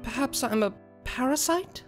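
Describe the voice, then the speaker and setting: A man's voice: very high voice, lively intonation, normal speed, music in the background, pitched above the performer's own voice. A man voicing a refined, anxious young pony mare in a narrated story, with fretful, emotive delivery. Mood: self-reproachful.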